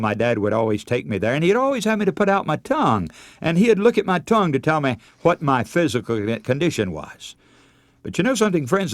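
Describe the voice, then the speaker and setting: A man's voice: mid-range voice, no room sound. An older man preaching a radio sermon, telling stories in an earnest way and calling his listeners friends.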